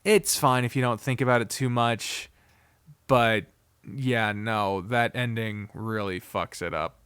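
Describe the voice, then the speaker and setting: A man giving an unscripted, casual review, blunt, profane and opinionated, often halting, digressing and trailing off mid-sentence. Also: mid-range voice, fairly even intonation, fairly bright tone, clear, resonant tone, no echo, studio quality. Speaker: visual novel reviewer.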